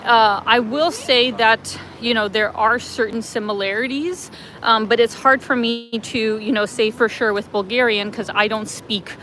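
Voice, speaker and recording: A woman's voice: fairly high pitch, steady tone, unhurried, bright, forceful tone, rough recording. A woman vlogger chatting casually while walking outdoors in light rain, reading viewer comments on a livestream with lots of fillers. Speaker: travel vlogger reading live chat. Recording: clear street noise behind. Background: city traffic and passing vehicles.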